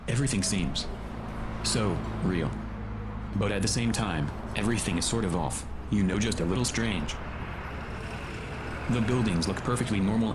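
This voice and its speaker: Clear outdoor noise surrounds a gruff hero played by a man. He has a low voice and a curt, grumbling manner, as in a radio-style drama. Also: natural voice. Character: gruff, bad-tempered male hero on the run with robot. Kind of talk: scripted audio drama, muttered monologue.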